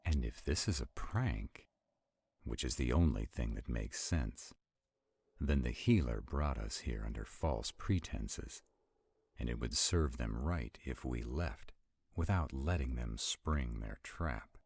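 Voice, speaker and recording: A man playing an arrogant, stubborn vampire in a roleplay audio drama, with a deep, gravelly, husky voice and a haughty, deliberate delivery. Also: expressive, a little mellow, exaggerated voice. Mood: exasperated, stubborn. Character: arrogant, stubborn male vampire, irritated and skeptical.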